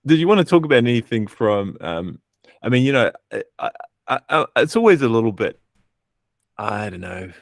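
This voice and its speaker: A man's voice: medium pitch, sing-song, moderate pace, creaky, no echo, clean studio sound. A man co-hosting a casual live stream, talking in a hesitant, rambling, thoughtful way. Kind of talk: casual chat.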